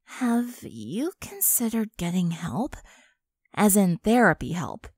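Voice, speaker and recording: A woman voicing a concerned, firm but caring young friend in a measured, earnest way for a fan fiction drama. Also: medium pitch, sing-song, a little mellow, slightly rough, no echo, clean studio sound, heavily acted. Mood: tentative concern.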